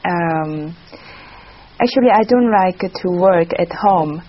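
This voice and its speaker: Korean woman, non-native speaker, lowish and hesitant, muffled recording. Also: takes it slowly. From South Korea. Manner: hesitant, frequent fillers. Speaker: exam candidate. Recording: dull lo-fi sound.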